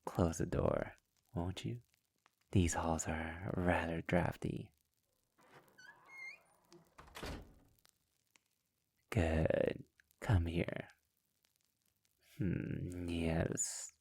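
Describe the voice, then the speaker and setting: A man's voice: low voice, animated, darkish tone, exaggerated voice. A man acting a sadistic, possessive vampire master in an intimate ASMR roleplay, speaking in a silky, teasing, condescending manner that often slips toward a whisper. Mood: silky, commanding. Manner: slow, teasing, often dropping into breathy whispers; silky, formal, condescending endearments. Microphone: close mic in a dry, quiet space.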